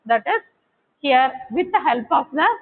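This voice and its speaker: High-pitched woman lecturing, phone-like sound. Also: expressive, unhurried. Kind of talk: explanatory technical lecture. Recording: tinny, phone-like narrowband audio.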